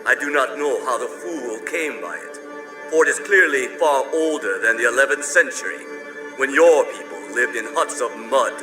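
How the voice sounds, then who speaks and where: An actor playing a proud, menacing crime-boss collector in a game cutscene, speaking in cold, formal, contemptuous threats over music. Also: male voice, medium pitch, expressive, normal speed, lightly acted, poor audio. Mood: cold, scornful.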